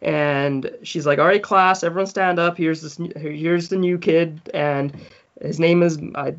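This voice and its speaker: A man's voice: fairly high pitch, steady tone, a little slow, highs cut off. A man in his thirties, originally from South Africa, telling stories as a podcast guest, laughing often and acting out the people he quotes. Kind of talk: casual storytelling.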